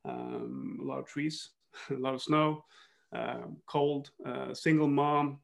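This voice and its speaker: A man's voice: mid-range voice, animated, slow pace, gravelly. A man from northern Sweden, talking thoughtfully as an interview guest, with many restarts and fillers. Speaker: guest, football coach. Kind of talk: reflective interview talk.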